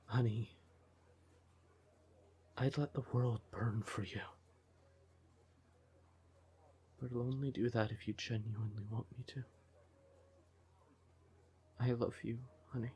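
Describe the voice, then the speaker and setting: A man playing a devoted, emotional siren boyfriend in an intimate fantasy ASMR audio roleplay, with a breathy, tender voice. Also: medium pitch. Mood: tender, intense. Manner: tender, unhurried, emotive, with pet names. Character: devoted male siren nightclub singer, affectionate boyfriend, easily exasperated.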